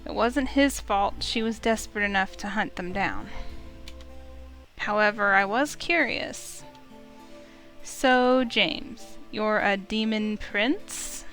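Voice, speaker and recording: A woman's voice: medium pitch, slow pace, fairly bright tone. A woman hosting a visual novel playthrough, talking and reading aloud for her viewers. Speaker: let's play host. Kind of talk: casual intro and read-aloud story narration. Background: game music.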